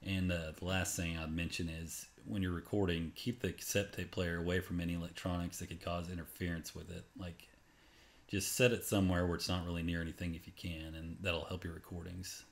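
Guy with a deep voice doing a casual screen recording how-to, explains stuff step by step. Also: level tone, fairly quick. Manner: informal, unscripted, step-by-step explaining. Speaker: tutorial presenter. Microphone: voice-over on a screen capture.

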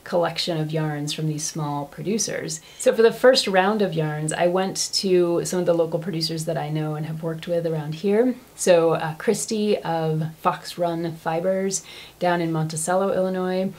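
Low-pitched American woman, chatty and enthusiastic. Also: fairly even intonation, normal speed, darkish tone. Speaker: knitting vlog host and yarn shop owner. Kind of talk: casual enthusiastic vlog chat to camera. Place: small furnished room at home.

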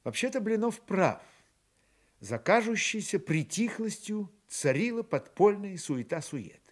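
A man's voice: high-pitched, sing-song, measured pace. A man narrating a literary radio drama in a storytelling style.

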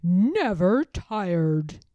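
A woman's voice: on the low side, very animated, very slow, dark tone, no echo, highs cut off, heavily acted. A woman voicing a jolly, emphatic old male grandfather bear in a children's storybook.

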